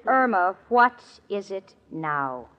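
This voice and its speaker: An actress playing a sensible, exasperated young roommate in a 1940s radio comedy, with a wry, theatrical delivery. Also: female voice, medium pitch, sing-song, slow pace. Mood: irritated. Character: exasperated young woman roommate, sensible, sarcastic, long-suffering. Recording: muffled, noisy old radio broadcast recording. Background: studio audience, hiss.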